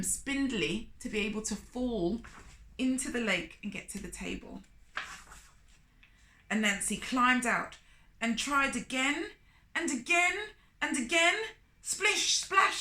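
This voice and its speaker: Slow, bright-voiced woman storyteller. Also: a little high. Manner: exclamatory, asks the listener questions. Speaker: children's storyteller. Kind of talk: expressive storytelling for young children. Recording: echoey room.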